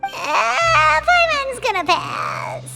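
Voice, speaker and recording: A tiny, childlike female game companion with an extremely high, squeaky voice. The delivery swoops about, chirpy and curious. Prominent music plays behind. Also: very animated, extremely slow, gravelly, exaggerated voice. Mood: puzzled, reluctant. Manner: speaks of self in third person. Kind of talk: video game voice acting.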